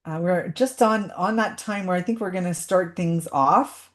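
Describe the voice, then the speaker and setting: A woman's voice: on the low side, moderate pace. A woman hosting a live webinar over a video call, chatty and welcoming as she greets everyone. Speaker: webinar host.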